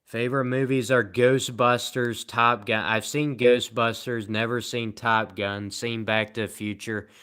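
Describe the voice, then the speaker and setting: A tired man live streaming, rambling in a sarcastic, self-mocking way as he answers viewer comments at low energy. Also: steady tone, slow, a bit bright, smooth.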